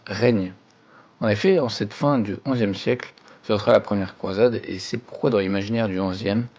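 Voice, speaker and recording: A man's voice: on the low side, a little mellow, highs cut off. A man giving a scripted, formal educational narration as a history presenter. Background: background music.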